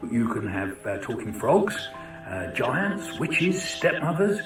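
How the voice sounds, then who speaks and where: A man's voice: medium pitch, sing-song, takes it slowly. Man giving a chatty talk, roomy sound. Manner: conversational, chatty, questioning. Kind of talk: informal educational talk with rhetorical questions. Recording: roomy, distant mic with music.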